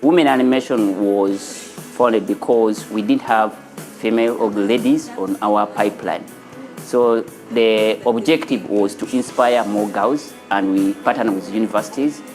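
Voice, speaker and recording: A Ugandan man speaks as a studio founder being interviewed for a news feature. His voice sits fairly low. The sound has a long reverb, with music playing quietly underneath. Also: unhurried.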